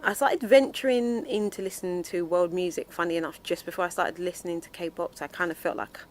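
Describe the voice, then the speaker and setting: A woman's voice: fairly low pitch, a little fast. A middle-aged woman talking candidly in an interview. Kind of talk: casual interview answers.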